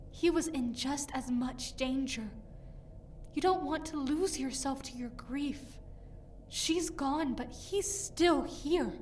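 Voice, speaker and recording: A woman's voice: fairly high pitch, a little mellow, distant mic, a little hiss or hum. An actress playing a protective, grieving mother in an audio drama, earnest and pleading with her husband.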